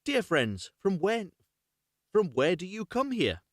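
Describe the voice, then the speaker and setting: Guy reading as a talking straw from a storybook, formal and plaintive, lively pitch, dry sound. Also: slow, no echo, exaggerated voice. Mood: earnest, curious. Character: talking straw, earnest survivor bemoaning its lot.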